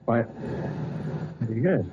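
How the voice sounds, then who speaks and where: An actor playing a wealthy, polite and easily flustered gentleman in an old radio drama. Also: very slow. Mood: nervous. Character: wealthy nervous middle-aged man. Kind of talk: 1940s radio acting. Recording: muffled old radio recording with loud hiss.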